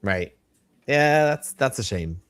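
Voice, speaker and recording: A man's voice: mid-range voice, animated, takes it slowly, a bit bright, smooth. A man co-hosting a casual livestream chat, agreeable and encouraging toward his partner. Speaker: podcast co-host.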